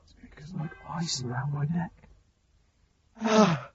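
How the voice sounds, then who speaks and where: A man acting a condemned prisoner on the gallows in a radio drama, desperate and praying, with halting, pleading delivery. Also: a little high, expressive, highs cut off, soft background music. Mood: dread, bracing.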